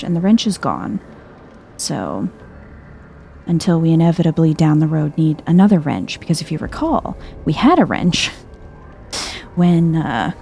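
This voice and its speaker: A soft-spoken woman giving chill, understated game commentary in an ASMR style, musing aloud as she plays. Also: deep voice, gravelly, slight background noise. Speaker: video game streamer.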